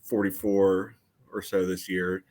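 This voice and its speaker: Guy w a lowish voice on a sports pod, casual, measured, kinda understated. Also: little pitch movement, a little mellow. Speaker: sports podcast panelist. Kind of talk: casual chat, sports talk.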